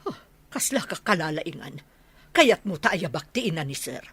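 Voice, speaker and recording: Bossy stubborn lady caretaker, lowish voice, sharp tongue, ilocano radio drama. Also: big pitch swings, normal speed, husky, dry recording, exaggerated voice. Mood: defiant, indignant. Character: scolding, stubborn woman house caretaker.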